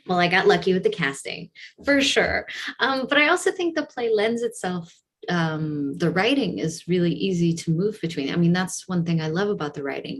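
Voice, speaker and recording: Slightly low-voiced woman, thoughtful panel guest. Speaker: theatre director on a panel. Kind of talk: reflective panel discussion answer.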